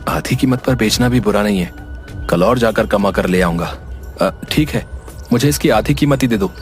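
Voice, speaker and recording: A man's voice: lowish voice, normal speed, lightly acted. Man voicing proud, defiant younger brother, music behind. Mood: self-assured. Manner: accusing, indignant. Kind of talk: Hindi animated moral story dialogue.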